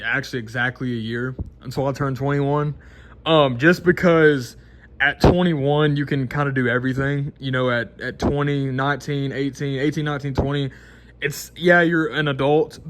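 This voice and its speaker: A man's voice: mid-range voice, fairly bright tone. A twenty-year-old man, talking casually to camera in a rambling, unscripted way full of restarts and fillers. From Mississippi, American South. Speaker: vlogger.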